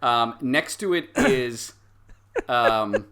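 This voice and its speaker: A man acting as game master, improvising narration for a tabletop game in a deliberate, explanatory way with pauses. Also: medium pitch, expressive, fairly bright tone.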